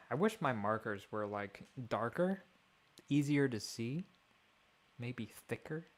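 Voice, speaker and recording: A young man streaming alone, thinking out loud in a wry, mock-grand way. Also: medium pitch, lively intonation, takes it slowly. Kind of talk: rambling livestream monologue.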